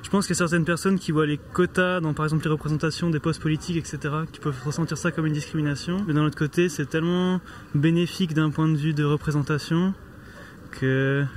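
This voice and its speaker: A man's voice: a little high, slight background noise. A man answering a street interview, thinking aloud as he reasons.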